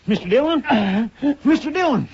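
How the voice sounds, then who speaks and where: Folksy western deputy sidekick, kinda hesitant, old radio with faint hiss. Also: male voice, very high-pitched, sing-song, moderate pace, a little mellow, full character voice. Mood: anxious. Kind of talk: 1950s western radio drama acting. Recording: dull, hissy old radio recording.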